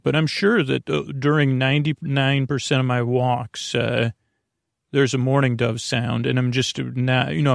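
A man with a creaky voice hosting a sleep podcast in a rambling, halting, gently self-deprecating monologue that keeps wandering off mid-thought. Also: mid-range voice, dry recording, clean studio sound.

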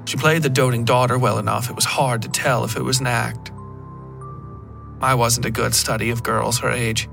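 A man reading a scripted horror story as a podcast narrator, in a measured, literary delivery with deliberate pauses. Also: mid-range voice, steady tone, creaky, over music.

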